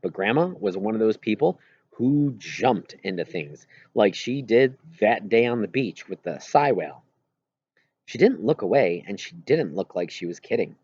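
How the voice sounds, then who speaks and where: A man with a husky voice reads a first-person novel aloud expressively, with halting pauses mid-phrase. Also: fairly low pitch, animated, darkish tone, dull audio. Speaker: audiobook reader.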